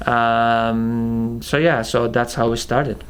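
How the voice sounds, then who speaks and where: A young man with a thick Russian accent, casually answering viewer questions as a channel co-host, halting with many fillers and restarts. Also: medium pitch, flat delivery, clear, resonant tone. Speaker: channel co-founder hosting Q&A. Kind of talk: casual Q&A chat.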